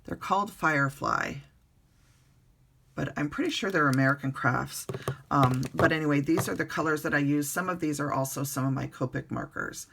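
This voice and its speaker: A woman recovering from the flu, her voice hoarse, chatting casually through a card-making tutorial at a desk in her bedroom with lots of ums and uhs. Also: low voice, moderate pace, background noise. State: hoarse, getting over the flu.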